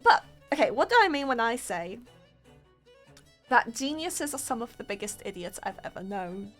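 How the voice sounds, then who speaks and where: A woman's voice: on the high side, sing-song, normal speed, soft background music. A young woman from Poland, a native Polish speaker, telling a story to her stream chat in a giggly, self-mocking way.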